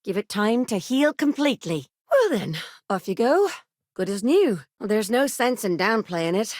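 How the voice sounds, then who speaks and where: An actress voicing a weary, sardonic clinic doctor in a video game, with a British or Irish accent and brisk, colloquial bedside patter. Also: female voice, mid-range voice, sing-song, darkish tone, no room sound, clean studio sound. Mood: brisk, reassuring. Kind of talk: scripted game dialogue.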